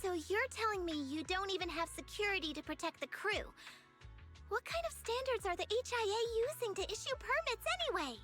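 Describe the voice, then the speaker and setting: Game role: young female martial artist, very high, bright voice, courteous and earnest. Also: brisk, soft background music. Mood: alarmed. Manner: polite, earnest, expressive. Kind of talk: anime-style video game acting.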